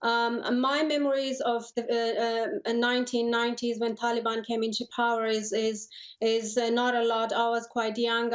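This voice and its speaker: A woman in her thirties from Afghanistan, speaking earnestly and haltingly as a guest in a news interview. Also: a little high, muffled recording. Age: 30s. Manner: halting, repeats words, earnest. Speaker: interview guest, lawyer and human rights advocate.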